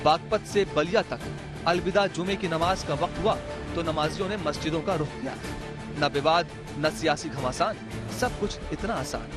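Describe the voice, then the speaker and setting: A man's voice: a little high, normal speed. A man reading a scripted, dramatic news voice-over. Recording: loud music under the voice. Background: music.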